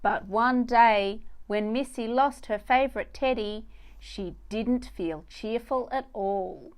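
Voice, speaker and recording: A woman tells a children's story at a very unhurried pace. Her voice sits a little high as she narrates from a picture book. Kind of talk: read-aloud storybook narration.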